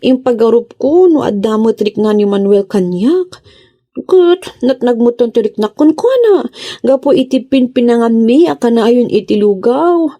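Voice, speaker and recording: A woman's voice: fairly high pitch, animated, normal speed, warm tone. A woman with an Ilocano Filipino accent playing an emotional, plaintive lovestruck wife in a radio comedy drama. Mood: embarrassed, dismayed. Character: distraught lovestruck married woman who misread a friend.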